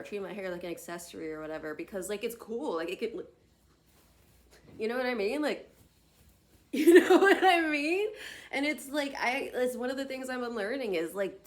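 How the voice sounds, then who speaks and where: A woman in her late twenties, talking to herself on a tablet camera in a rambling vlog, full of tangents and filler words. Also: medium pitch. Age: late 20s. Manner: tangential, filler-heavy, many "like" and "you know what I mean". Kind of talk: rambling solo vlog monologue.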